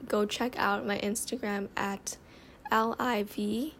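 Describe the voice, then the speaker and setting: A woman's voice: medium pitch, fairly even intonation, slow pace, a bit bright, slight background noise. A young woman from Thailand, chatting casually on her own podcast and answering listener questions, rambling with lots of fillers and restarts into a phone mic in her bedroom. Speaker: podcast host. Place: bedroom, by the window.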